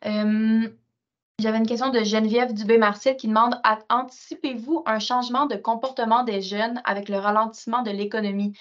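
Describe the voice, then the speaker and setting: Quebecois woman reading out questions, brisk, higher voice, muffled call audio. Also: steady tone. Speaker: webinar moderator.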